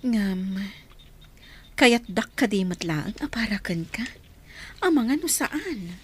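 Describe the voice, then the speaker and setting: A woman's voice: mid-range voice, animated, slow, slight background noise. A woman acting in an Ilocano radio drama, playing a shy, agreeable sweetheart who is a little nervous.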